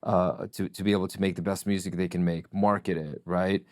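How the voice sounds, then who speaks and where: A middle-aged man from Russia whose first language is Russian, speaking as a podcast guest and telling his story in a reflective, measured way. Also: deep voice, little pitch movement, a little mellow, no echo, studio quality. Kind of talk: casual interview chat.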